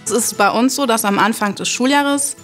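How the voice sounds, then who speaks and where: A woman's voice: on the high side, normal speed, fairly bright tone. A middle-aged woman, a school principal, explaining something in an interview. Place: school room. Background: background music.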